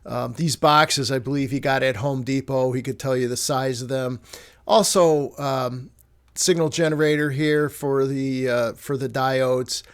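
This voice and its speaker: A man giving a casual, explanatory talk as an amateur radio hobbyist, halting and correcting himself as he works through technical details. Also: medium pitch, steady tone. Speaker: amateur radio hobbyist presenting his project.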